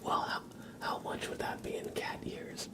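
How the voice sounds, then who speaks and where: A man hosting a casual ASMR livestream, chatting in a breathy, gentle, unhurried whisper and softly repeating phrases. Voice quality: breathy whisper with creaky edges. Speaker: live streamer, ASMR host.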